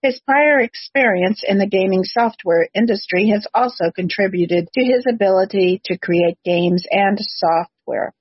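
A woman with a husky voice presents as a podcast host, in the manner of prepared introductions and interview questions. The recording is dry and close, with a slightly dull, lo-fi sound. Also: mid-range voice, a little slow, few pauses, no echo. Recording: dull, lo-fi, slightly muffled audio.

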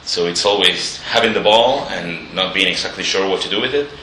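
A man with a very deep voice talks football on a podcast, hesitant and stumbling as he starts his points. His tone is bright and forceful. The living room around him sounds echoey, with faint noise. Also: fairly quick. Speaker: podcast co-host. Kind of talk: casual football analysis.

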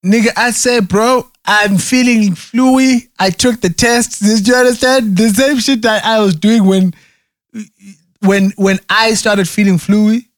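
A man's voice: high voice, fairly even intonation, measured pace, no room sound. A man in casual chat who rambles, restarts often and keeps checking in with "right?". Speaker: conversation partner.

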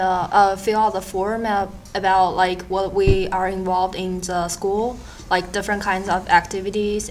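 A teenage girl from China answers as a podcast guest, halting and restarting often with little fillers. Her intonation stays level and flat at a middle pitch. Faint room noise sits behind her. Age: teens. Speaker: student guest. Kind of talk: casual interview answers.

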